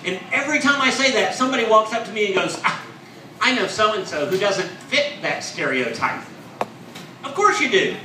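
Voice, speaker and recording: A man in his forties performing a stand-up comedy set on a club stage, with deadpan, self-mocking punchlines. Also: high voice, normal speed, distant mic, background noise. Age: 40s. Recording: echoey club, audience laughter.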